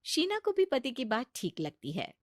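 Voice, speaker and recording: A woman narrating a scripted family story in an expressive storytelling style. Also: sits high, normal speed, no echo, clean studio sound.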